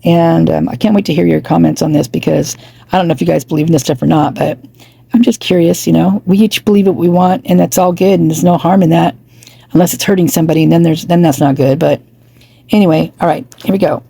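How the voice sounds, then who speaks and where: A woman's voice: low voice, steady tone, quick pace, vocal fry, mellow, breathy edge. A woman losing her voice, chatting casually and rambling with many asides as a true crime commentator.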